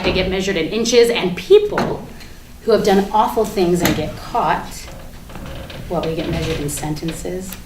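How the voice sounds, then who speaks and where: In an echoey room with faint noise, a woman performs a foul-mouthed, defiant woman under questioning. Her medium-pitched voice rambles sarcastically and haltingly in a dramatic stage monologue. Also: recorded from a distance, lightly acted. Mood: rambling, self-conscious. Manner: chatty, sarcastic, halting, breaks into nervous laughs.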